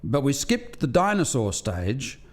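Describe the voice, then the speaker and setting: A man's voice: mid-range voice, big pitch swings, unhurried. A man playing an earnest alien scientist who explains experiments to colleagues in formal, articulate language in a radio play. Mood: earnest, storytelling.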